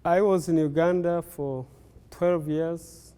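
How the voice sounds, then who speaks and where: An older man from Rwanda, a native Kinyarwanda speaker, tells his life story in a slow, unhurried way, pausing often. His voice is mellow and smooth, a little higher than most men's. The recording carries a long hall reverb. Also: steady tone. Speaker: interviewee.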